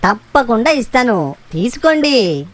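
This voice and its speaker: Slow, lilting woman as a friendly monkey. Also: fairly high pitch, very animated. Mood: cheerful. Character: friendly, generous, quick-witted monkey. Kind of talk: children's cartoon story voice acting. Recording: music behind the voice.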